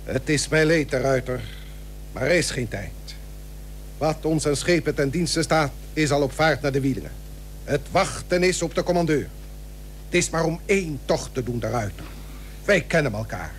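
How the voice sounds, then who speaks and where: An actor playing a grave, persuasive seventeenth-century shipowner and statesman in a historical radio play, speaking measured, formal archaic Dutch. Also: medium pitch, lightly acted. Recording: old radio recording with hum and hiss.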